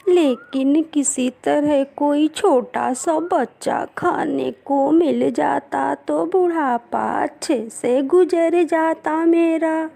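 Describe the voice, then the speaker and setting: A woman voicing a scheming old female eagle in a cartoon story, creaky, with slow, drawn-out pleading. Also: high voice, warm tone, full character voice. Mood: self-pitying. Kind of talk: cartoon story character acting.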